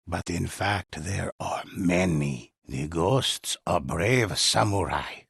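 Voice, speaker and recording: Older mystic storyteller, video game narration, solemn, portentous, gravelly, unhurried, close dry sound. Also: male voice, low-pitched, expressive, fairly bright tone, no echo, exaggerated voice. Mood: solemn, mysterious. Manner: solemn, measured, portentous delivery. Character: mysterious older male storyteller, solemn, reverent teller of samurai legends.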